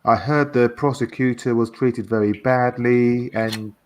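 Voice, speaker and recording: A man casually discussing politics in a halting way, repeating words as he searches for phrasing. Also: medium pitch, steady tone. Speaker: guest discussing a political transcript.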